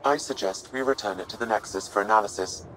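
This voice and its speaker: A man voicing a calm analytical AI. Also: lowish voice, close to an everyday voice. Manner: measured, formal. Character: calm male AI assistant, analytical. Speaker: game character. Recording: distant, echoey with loud game noise.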